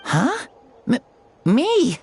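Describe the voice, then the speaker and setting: A woman's voice: high voice, huge pitch swings, darkish tone, faint music behind, full character voice. A woman voicing a frail, earnest young child in a video game, speaking hesitantly and with wonder. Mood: confused. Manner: hesitant, halting.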